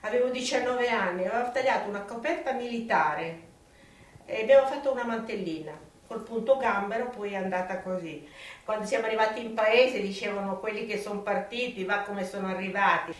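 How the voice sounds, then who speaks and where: A woman's voice: medium pitch, brisk. A woman in her seventies or older, telling her memories plainly and insistently, repeating phrases for emphasis. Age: 70s or older. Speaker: witness giving testimony. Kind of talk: personal recollection, plain conversational storytelling. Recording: roomy, distant video interview sound.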